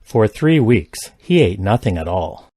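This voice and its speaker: A man reading simple English practice sentences aloud, each one twice, in a careful, even delivery. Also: lowish voice, a little slow, slightly rough, no room sound, studio quality. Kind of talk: slow repeated sentence reading for learners.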